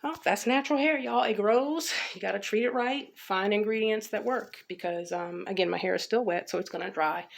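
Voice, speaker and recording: A woman's voice: medium pitch, a little fast, vocal fry, few pauses. An American woman giving a casual, chatty hair care update, talking informally and rambling with some repetition. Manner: informal, rambling, repeats herself. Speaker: natural hair care vlogger.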